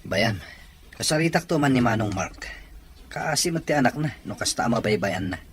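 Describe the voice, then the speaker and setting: A man's voice: medium pitch, expressive, normal speed, slight background noise, close to an everyday voice. A man playing a kindly, generous uncle in an Ilocano audio drama, paternal in manner. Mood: concerned.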